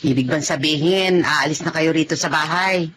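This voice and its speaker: A woman's voice: deep voice, normal speed, fairly bright tone. A woman playing a homely, mildly bossy middle-aged mother-in-law in a Filipino radio drama. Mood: motherly. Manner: motherly, directing. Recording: light background noise.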